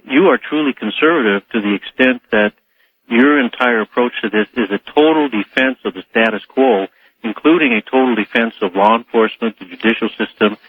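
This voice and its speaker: Man, radio caller, articulate, measured, argumentative comment, narrow phone-line sound. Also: mid-range voice, husky, no echo.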